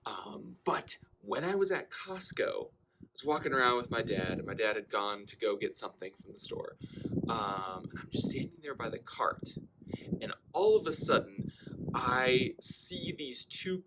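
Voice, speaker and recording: A man's voice: mid-range voice, noisy background. Young man telling a casual personal story. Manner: animated delivery, acting out quoted dialogue.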